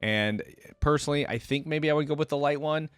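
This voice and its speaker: A man's voice: fairly high pitch, fairly quick, a bit bright, clear, resonant tone, no echo. A man giving casual reaction commentary as a video host, slangy and thinking aloud as he weighs his opinions.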